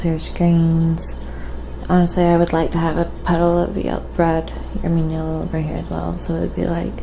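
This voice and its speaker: A soft-spoken woman hosting a casual ASMR painting live stream, explaining as she works in an unhurried way. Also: lowish voice, creaky, slight background noise, poor audio. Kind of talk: ASMR, casual art demonstration.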